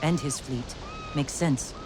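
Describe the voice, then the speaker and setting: An actor playing a pragmatic, loyal fighter in a video game, talking in plain, clipped, practical phrases. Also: male voice, a little high, slow pace, noisy background. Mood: watchful, earnest. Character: pragmatic male fighter, loyal companion scouting enemies. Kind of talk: video game cutscene acting.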